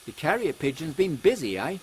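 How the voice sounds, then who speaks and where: A man's voice: on the high side, very animated, a little fast, poor audio. A man voicing a cheeky, slangy working-class Cockney agent in a comic Victorian audio drama. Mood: curious. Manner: slangy, blunt, irreverent. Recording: faint background noise.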